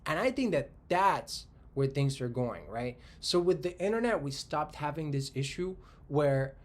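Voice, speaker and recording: A man in his twenties from Spain talks through an idea in a casual solo monologue. He thinks out loud as he goes, restarts his sentences and often tags them with "right?". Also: a little high, very animated, a little slow. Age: 20s. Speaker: tech commentator.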